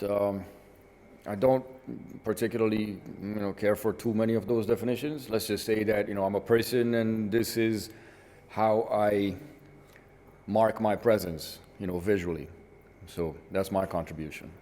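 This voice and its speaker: A man's voice: fairly low pitch, steady tone, normal speed, warm tone, a little hiss or hum. A middle-aged man from northern Italy, an artist being interviewed, answering in a reflective way with frequent "you know" asides. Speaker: guest artist. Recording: reverberant hall with faint ambience.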